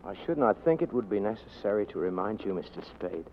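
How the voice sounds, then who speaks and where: An actor playing a polite, fussy, sly criminal with formal, insinuating speech in a 1940s radio drama. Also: male voice, lowish voice, sing-song, a little fast. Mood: veiled threat. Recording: muffled, noisy 1940s radio recording.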